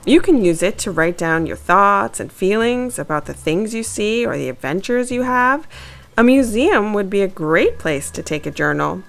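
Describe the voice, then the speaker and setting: A woman's voice: mid-range voice, expressive, moderate pace, vocal fry. A woman voicing a timid, studious young male mouse in a storybook, speaking in an earnest, hesitant way. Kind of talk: storybook character voice.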